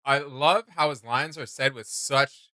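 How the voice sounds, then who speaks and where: A man's voice: mid-range voice, fairly even intonation, unhurried, pushed and bright, no echo. A man hosting a reaction video, chatting casually and enthusiastically. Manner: enthusiastic, exclaiming. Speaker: reaction channel host. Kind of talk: casual, excited reaction chat.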